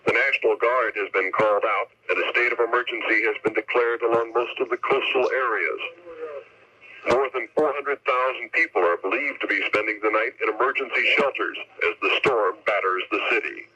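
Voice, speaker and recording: A man's voice: fairly low pitch, normal speed, pushed and bright, breathy edge. An American man reading a scripted news report as a correspondent over a tinny vintage radio feed. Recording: dull, tinny telephone-style radio feed.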